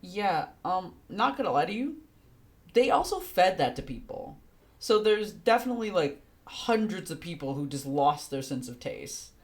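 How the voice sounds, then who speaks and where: A woman streaming a game, with a fairly low voice and very lively intonation. She chats casually, slangy and sweary, as she reacts to the story. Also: normal speed.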